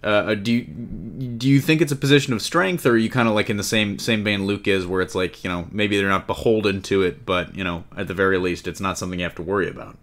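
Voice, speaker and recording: Low-pitched man hosting a casual panel. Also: quick pace, few pauses, a bit bright. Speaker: podcast host.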